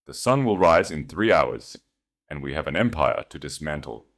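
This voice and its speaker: A man voicing a cold, shrewd queen in an audiobook, measured, composed and quietly commanding. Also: a bass voice, big pitch swings, full character voice. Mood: weary, calculating. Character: cold, shrewd, imperious queen, calm and calculating. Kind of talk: audiobook character acting.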